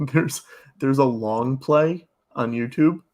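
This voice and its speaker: A man's voice: medium pitch, slow pace, darkish tone. A man chatting casually as a podcast guest, with a wry, joking way of talking.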